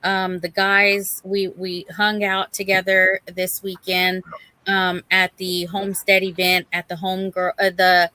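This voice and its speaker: A woman's voice: medium pitch, monotone, measured pace, pushed and bright. A woman co-hosting a casual livestream, chatting informally with viewers as she reads their comments. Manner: chatty, addresses and greets viewers by name. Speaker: livestream co-host reading and answering viewer chat.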